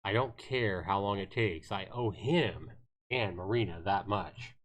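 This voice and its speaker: A man's voice: fairly low pitch, a little slow, fairly bright tone. A man reading visual novel narration aloud on a livestream, telling it dramatically with the occasional stumble. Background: guitar music.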